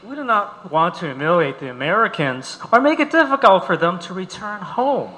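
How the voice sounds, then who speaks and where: Bright, animated man reading in a reverberant hall. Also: sits high, normal speed. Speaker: reader at a live event. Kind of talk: dramatic reading of a quoted statement. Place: large auditorium.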